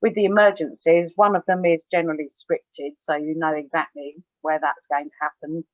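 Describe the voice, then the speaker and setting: A woman's voice: deep voice, level tone, normal speed, dry recording. Female examiner over phone-like call audio. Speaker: oral examiner and air traffic controller. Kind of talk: casual explanation. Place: online video call.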